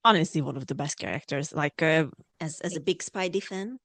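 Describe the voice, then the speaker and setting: A woman's voice: sits low, a little fast, fairly bright tone, dry recording. A woman from Hungary interviewing a guest casually as an enthusiastic podcast host.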